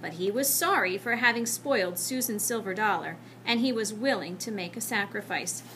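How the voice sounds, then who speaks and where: A woman reading a children's book aloud as an expressive narrator. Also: mid-range voice, normal speed, a little hiss or hum. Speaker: storybook reader.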